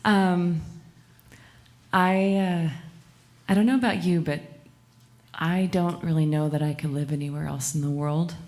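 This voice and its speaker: Low-voiced woman on a concert stage, musing slowly with lots of ums, grew up in alaska. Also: fairly even intonation, a little mellow. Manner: reflective, hesitant, with fillers. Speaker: musician introducing a song. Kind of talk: casual onstage talk.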